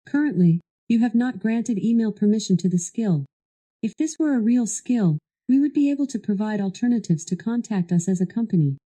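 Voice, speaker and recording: Very mellow, dark-voiced female assistant. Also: on the high side, lively intonation, brisk. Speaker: voice assistant guiding a product demo. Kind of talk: scripted instructional narration.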